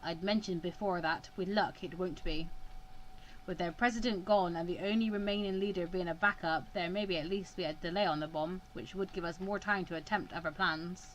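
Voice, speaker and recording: A woman voicing a cold, self-assured male mediator in measured, formal visual novel dialogue. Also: lowish voice, steady tone, slight background noise, lightly acted, low-quality recording. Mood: calculating, certain.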